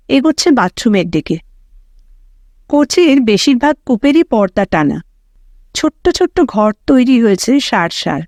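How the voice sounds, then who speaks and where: A woman reads a story aloud in a fairly high voice. Her narration is dramatic and expressive, giving life to what the characters think and say. The sound is dry, with no room around it. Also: no room sound. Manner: expressive, voicing characters' thoughts and dialogue. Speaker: audiobook narrator.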